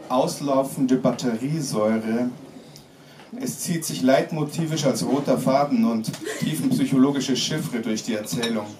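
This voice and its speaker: Deadpan man reading satire, roomy distant sound. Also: mid-range voice. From Germany. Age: 40s. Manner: deadpan, theatrical, mock-grave delivery. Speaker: author reading his own satirical text. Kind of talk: live literary reading, mock-solemn comic essay. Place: small pub-like room with audience, indoors. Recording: roomy, distant mic, clearly noisy background.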